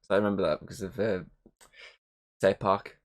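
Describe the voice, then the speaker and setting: A croaky-voiced man co-hosting a casual film review podcast, rambling with lots of "um" and "obviously". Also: deep voice, steady tone, fairly quick. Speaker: podcast co-host.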